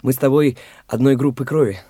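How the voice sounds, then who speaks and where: A man's voice: mid-range voice, fairly even intonation, a little fast, warm tone, husky, dry recording, close to an everyday voice. An actor playing Mitya, a brash, pushy young man who talks in a taunting, blunt way, in a studio radio play.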